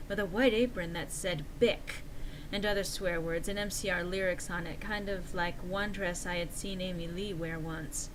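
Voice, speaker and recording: A woman narrates fan fiction at a middle pitch. Her delivery is theatrical and exaggerated, played mock-earnest. Faint noise sits behind the voice. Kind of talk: dramatic reading of fan fiction.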